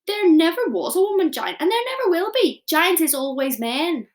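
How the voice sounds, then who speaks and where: A woman voicing a kind, very old male giant in a storybook reading, speaking slowly and emphatically with muddled grammar. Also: high voice, big pitch swings. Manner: slow, emphatic, mangled grammar.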